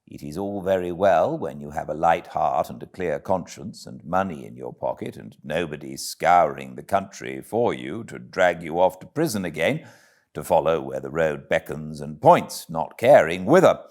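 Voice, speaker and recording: A man's voice: a bass voice, expressive, moderate pace, darkish tone. A man with a British accent narrating a classic story expressively, as an audiobook reader does. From Britain.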